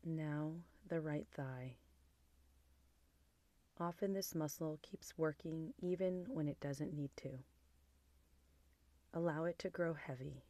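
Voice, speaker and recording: A woman guiding a body scan meditation in a soft, gentle, soothing manner, with phrases trailing into a near-whisper. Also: low-pitched, takes it slowly, long pauses, no room sound. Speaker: meditation guide.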